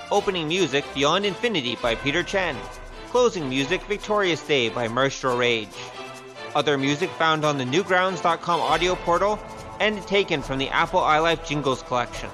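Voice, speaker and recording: A man announcing scripted credits for an audio adventure show. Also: on the high side. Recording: music behind the voice. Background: theme music.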